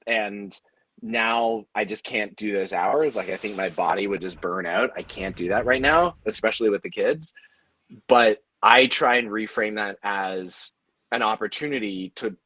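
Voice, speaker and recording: A man's voice: lowish voice, unhurried, pushed and bright, no echo, low-quality recording. A man speaking earnestly and conversationally on a panel, explaining how he leads his team. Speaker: audio drama company leader on a panel.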